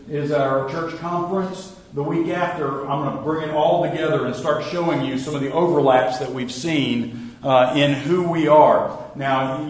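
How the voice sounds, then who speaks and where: A middle-aged man, a pastor giving a casual Wednesday night church talk, slightly hoarse, folksy and self-deprecating with many fillers. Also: fairly high pitch. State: a little hoarse. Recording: roomy echo.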